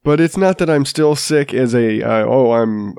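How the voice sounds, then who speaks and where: A man's voice: medium pitch, unhurried, creaky, darkish tone, clear, resonant tone, dry recording, clean studio sound. A man in his thirties hosting his own podcast while stuffed up with a sinus infection, talking in a rambling, self-deprecating way with many restarts. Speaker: podcast host. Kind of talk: casual solo podcast monologue.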